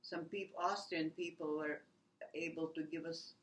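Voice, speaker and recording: A woman, recalling her life in a halting oral history interview, full of restarts and fillers. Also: low voice, fairly even intonation. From Latvia. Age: 80s. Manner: halting, many restarts and "you know" fillers. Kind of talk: rambling personal recollection.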